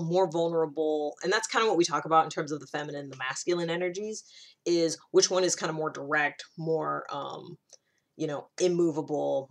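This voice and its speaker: A woman presents in a casual, explanatory way with a voice on the lower side. She talks informally, as if thinking aloud to her viewers, and tags her points with little check-ins. The delivery is that of an unscripted solo video. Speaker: video presenter on personality typing.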